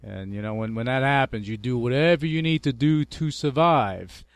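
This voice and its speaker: Smooth-voiced New radio host. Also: male voice, medium pitch, normal speed, no room sound. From New York. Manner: friendly, rambling, restarts often, laughs easily. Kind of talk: casual interview chat.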